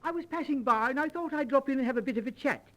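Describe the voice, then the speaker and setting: Duke: extremely high, pompous, affected, gallant; 1930s radio farce, old disc sound. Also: male voice, very fast, fairly bright tone. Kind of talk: 1930s radio musical-comedy acting.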